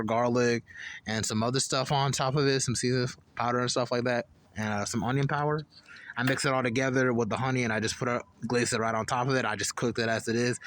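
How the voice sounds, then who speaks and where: A man's voice: medium pitch, a bit bright. A young man from Liberia hosting a podcast, easygoing and rambling in casual chat. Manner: easygoing, rambling, lots of fillers. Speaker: podcast host.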